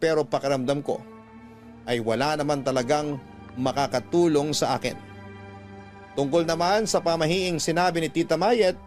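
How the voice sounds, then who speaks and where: A man's voice: on the high side, a little mellow, lightly acted. An actor playing a troubled young man who recounts his story reflectively, as a letter in a Filipino radio drama. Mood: puzzled, reflective. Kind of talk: first-person dramatic narration of a radio letter.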